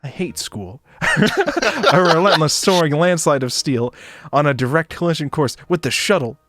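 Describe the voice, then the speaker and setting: A man's voice: a little high, big pitch swings, normal speed, a bit bright. A man streaming a visual novel read-aloud, narrating expressively and breaking into playful asides. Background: game music.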